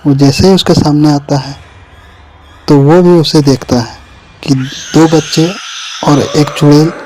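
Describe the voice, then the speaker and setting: A man's voice: a little high, steady tone, unhurried, darkish tone, slight background noise. A man giving a scripted narration of a horror story.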